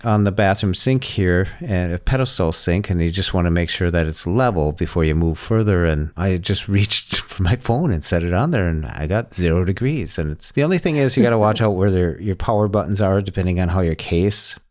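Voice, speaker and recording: A man with a low voice talks as a podcast host in casual conversation. His explanations are folksy and a little rambling, in everyday words. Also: lively intonation, fairly quick, hardly any pauses, darkish tone. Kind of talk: casual tech podcast chat.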